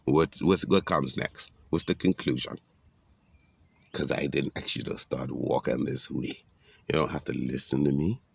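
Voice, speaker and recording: A man's voice: a bass voice, steady tone, unhurried, gravelly, slightly rough, no echo. A man speaking in a rambling, preachy monologue, streetwise and casual, mixing everyday talk with biblical references. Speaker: vlogger giving a monologue.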